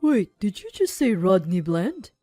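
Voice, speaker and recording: A woman's voice: medium pitch, sing-song, unhurried, dark tone, no echo, faint music behind. A woman voicing a serious, concerned male confidant in earnest, emphatic story dialogue. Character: serious, concerned confidant named Conrad, a man.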